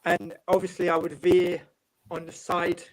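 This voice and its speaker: A man from England, a football writer and guest, talking in a calm, measured way with long explanations. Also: high-pitched, low-quality recording. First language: English. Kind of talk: casual panel chat.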